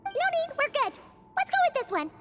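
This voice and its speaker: A squeaky, excitable fairy girl chatters in a very high, wildly swooping voice. She is a small floating game companion who gets worked up fast and calls herself by name. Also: over music. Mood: chirpy. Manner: excitable, talks about herself in third person. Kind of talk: video game cutscene voice acting.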